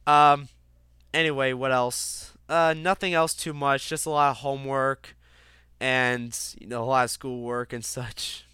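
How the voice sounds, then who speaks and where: A man's voice: mid-range voice, little pitch movement, a little slow, pushed and bright, clear, resonant tone, dry recording, clean studio sound. A young man hosting his own podcast, talking casually with lots of fillers and restarts, congested from a cold. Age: young adult. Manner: rambling, many fillers and restarts. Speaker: podcast host. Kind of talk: casual solo podcast monologue.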